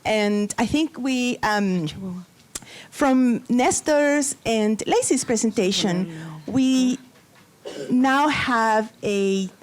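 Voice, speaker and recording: A woman's voice: fairly high pitch, very slow. A woman introducing the next speaker at an academic conference panel, hesitant and full of fillers. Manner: hesitant, many fillers and restarts. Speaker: panel moderator.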